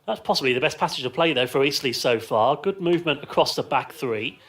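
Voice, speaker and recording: A man's voice: mid-range voice, animated, brisk, a bit bright. A man giving live radio football commentary from a stand, describing play in short, clipped phrases. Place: outdoors in a football ground stand.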